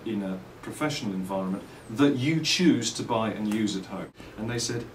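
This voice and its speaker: A middle-aged man with an English accent, giving reflective, articulate interview answers in measured phrases and telling anecdotes as a company owner and designer. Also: on the low side. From England. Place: indoors at a trade show. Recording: roomy, distant mic, faint background noise.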